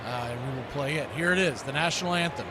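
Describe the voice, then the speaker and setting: A man's voice: on the high side, quick pace, very noisy. An American man hosting a live football watch party, chatting casually and in a folksy way as he previews the game.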